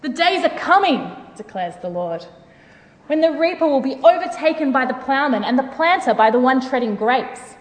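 A woman's voice: sits high, expressive, normal speed. A woman playing the stern, commanding voice of God in a declamatory dramatic scripture reading. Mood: resolute, promising. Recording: echoey hall with long reverb.

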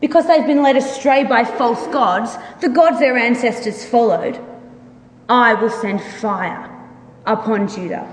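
Slow, solemn woman reader in echoing hall. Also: a little high. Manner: solemn, measured. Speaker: reader in a church dramatic reading. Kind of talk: slow dramatic scripture reading. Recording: echoey hall recording with long reverb.

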